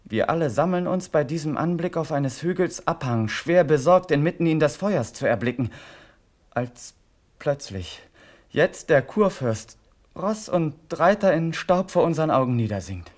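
An actor playing a grave, impassioned cavalry officer, declaiming measured verse in a classical German radio drama. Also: male voice, a little high, animated, a little mellow, close to an everyday voice. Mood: solemn, rousing.

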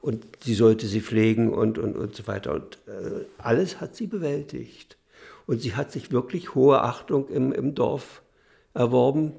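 An older man with a deeply gravelly, fry-heavy voice and a low pitch. He gives interview testimony about his mother, hesitating and repeating words as he goes. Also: mellow.

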